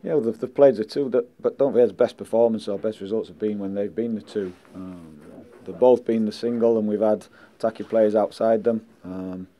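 Forty-something football boss, really dark low voice, blunt and measured, lots of um and listen, close mics. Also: male voice, steady tone. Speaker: football manager. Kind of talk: post-match press interview. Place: indoors in front of a sponsor board. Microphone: handheld mics held close.

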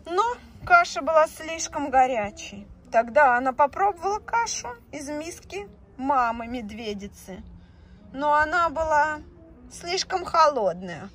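A woman telling a children's fairy tale in a slow, expressive, sing-song way. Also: sits high. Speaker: storyteller. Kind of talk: children's story narration with paper puppets. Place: indoors, tabletop on green felt.

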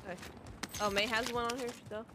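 A young woman streaming a game from her bedroom, hoarse from losing her voice, chatting and greeting viewers by name over a headset mic. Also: fairly high pitch, steady tone, quick pace, over a noisy crowd. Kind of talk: casual game stream chat. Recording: loud game gunfire behind.

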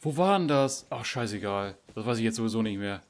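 A man's voice: lowish voice, lively intonation, brisk. A man chatting casually while playing a survival game, teasing himself and his teammate with frequent tag questions. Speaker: gamer streamer. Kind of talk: casual gameplay chat.

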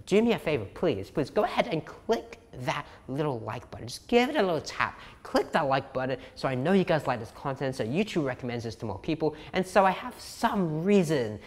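A man's voice: fairly high pitch, big pitch swings, fairly quick, hardly any pauses, long reverb tail. A young man teaching an online course in a chatty, emphatic way, repeating key points, his voice tiring from talking a long time. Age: young adult. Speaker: SEO course instructor. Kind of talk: casual tutorial explanation to camera. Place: indoor living room.

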